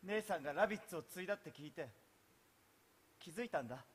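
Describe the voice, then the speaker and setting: An actor playing a disillusioned young lawyer and younger brother in a live stage play, earnest and dramatic. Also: male voice, high-pitched, animated, fast, slightly rough. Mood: bitter sorrow. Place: theatre stage.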